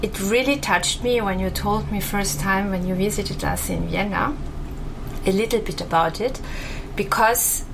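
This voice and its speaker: A woman's voice: medium pitch, a little slow, gravelly. Articulate woman hosting an interview. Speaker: interview host. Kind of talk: interview conversation. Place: room in Bangkok. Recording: noisy background ambience.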